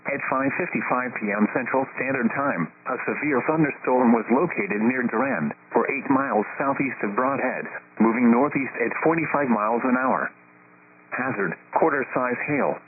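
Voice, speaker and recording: A synthetic male announcer reading scripted weather warnings in a formal, measured way over the radio. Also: medium pitch, lively intonation, creaky. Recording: tinny, noisy weather radio broadcast with hum.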